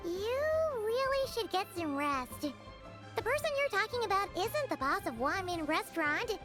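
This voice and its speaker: A woman voicing a tiny, excitable, cheeky childlike fairy companion in a video game, with a squeaky voice and blunt manner. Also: a little slow, full character voice. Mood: cheeky, scolding. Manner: blunt, refers to self in third person. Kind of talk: video game character acting. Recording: music playing behind. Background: game music.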